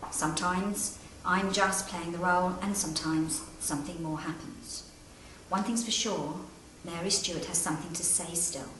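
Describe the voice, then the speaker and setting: A woman guides visitors through history with a formal, dramatic delivery. Her voice is on the low side and very echoey, picked up from a little distance with faint noise around it. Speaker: castle guide and historical interpreter. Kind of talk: storytelling to camera. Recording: echoey great hall, distant mic, background noise.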